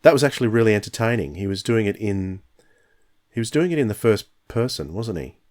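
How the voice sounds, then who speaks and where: A low-pitched man hosts a podcast, asking questions and filling in details in a relaxed, chatty way. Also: brisk. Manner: inquisitive, asks leading questions. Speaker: podcast host. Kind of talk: casual chat.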